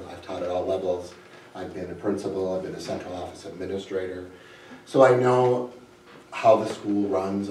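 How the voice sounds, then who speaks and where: A man's voice: low-pitched, a little mellow. A middle-aged man giving a short, plainly worded candidate statement. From United States, Wisconsin. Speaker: school board candidate, career educator. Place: indoor public board meeting, far microphone. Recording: echoey room, distant microphone, faint noise.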